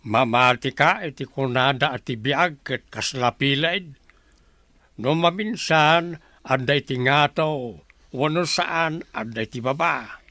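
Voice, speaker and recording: A man's voice: medium pitch, slow pace, pushed and bright, dry recording. An actor with a Filipino accent playing a sensible, moralizing village man in a radio drama. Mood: admonishing. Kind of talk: Ilocano radio drama acting.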